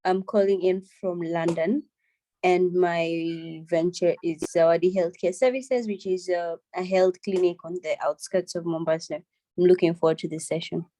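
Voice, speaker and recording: A woman from Kenya, introducing herself in a relaxed, giggly way on a video call. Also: fairly low pitch, moderate pace, poor audio. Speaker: social entrepreneur.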